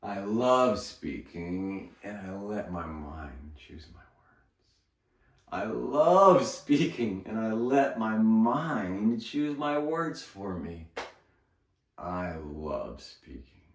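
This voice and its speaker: A man with a lowish voice talks slowly and earnestly, coaching his listeners and repeating key phrases to drive them home. He stands back from the mic, and the room adds a clear echo. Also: expressive. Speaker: speaking coach. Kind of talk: motivational talk. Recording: roomy, from a distance.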